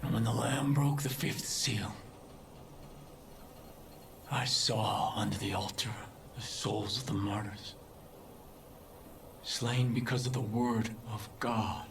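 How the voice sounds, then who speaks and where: Low male video game villain, fanatical cult preacher, breathy and hushed, solemn menace, roomy echo. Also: a little hiss or hum. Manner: slow, deliberate, solemn, preaching. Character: fanatical cult leader, soft-spoken menacing doomsday preacher. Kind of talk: dramatic sermon-like game acting.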